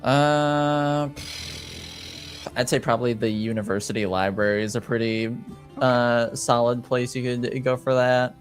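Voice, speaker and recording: A man's voice: mid-range voice, steady tone, a little slow, soft background music. A man speaking casually as the game master of a tabletop roleplaying game. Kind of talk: casual game narration and chat.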